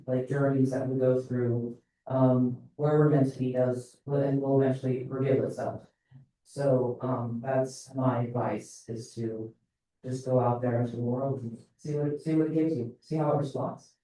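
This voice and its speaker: A man speaking on a panel in a relaxed, reflective way with light fillers. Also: medium pitch, measured pace. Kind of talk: panel discussion. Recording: echoey hall, mic at a distance.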